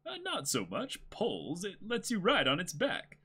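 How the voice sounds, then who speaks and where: A man's voice: high voice, huge pitch swings, a little slow, bright, forceful tone, breathy edge, heavily acted. A man voicing a helpful, matter-of-fact shopkeeper from a comic, explaining things plainly to customers. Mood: explanatory.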